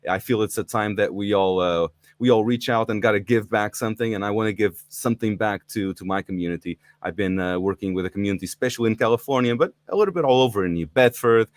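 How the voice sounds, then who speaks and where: A man's voice: lowish voice, quick pace, hardly any pauses, no echo, clean studio sound. A middle-aged man from Portugal hosting a live online talk, eager and inviting, with frequent fillers and restarts. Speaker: livestream host. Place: indoors in Portugal.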